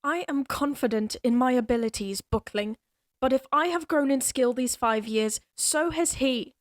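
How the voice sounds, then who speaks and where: A proud young lady knight, acted by a woman speaks straight to the listener in a fantasy audio drama. Her voice sits fairly high, in a very dry recording. She phrases things formally and a touch haughtily, with a teasing, protective streak. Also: normal speed, no echo, close to an everyday voice. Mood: grave, determined. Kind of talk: fantasy audio roleplay acting addressed to the listener.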